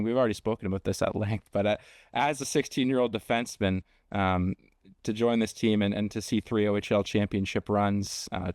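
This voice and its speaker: A man interviews a guest about sports in a conversational style. His voice sits fairly low and is recorded dry. He feels his way through questions haltingly, repeating words. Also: darkish tone, no echo. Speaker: podcast host, interviewer.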